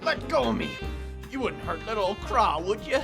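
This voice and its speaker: An actor playing a scrappy, whining street thug in a radio drama, shouty and excitable. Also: male voice, sits high, moderate pace, gravelly, over music. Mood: panicked whining. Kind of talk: old-time radio drama acting.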